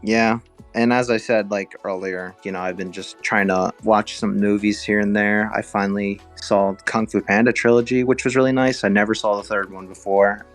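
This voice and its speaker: A man with a fairly low voice talks as a podcast co-host. He is informal and hedges as he thinks aloud, with music behind the chat. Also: little pitch movement, moderate pace. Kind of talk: casual fan podcast chat.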